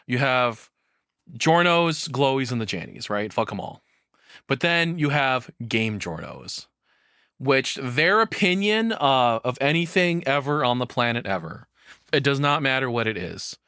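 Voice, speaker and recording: A man's voice: mid-range voice, big pitch swings, a little slow, bright, forceful tone, smooth, dry recording, studio quality. A man giving casual reaction commentary as a streamer, rambling along and swearing freely.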